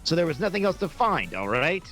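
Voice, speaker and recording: A man's voice: on the high side, sing-song, a little fast, fairly bright tone, soft background music. A man voicing a proud, wolfish agent who is fiercely loyal to his family, in a comedic fan dub. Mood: proud, protective. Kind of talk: fan dub voice acting with improvised banter.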